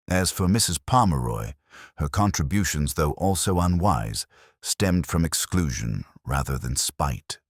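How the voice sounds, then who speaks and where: A man playing a calm, diplomatic village police inspector, speaking mildly, steadily and precisely. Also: very deep voice, slightly rough, no room sound, clean studio sound, exaggerated voice.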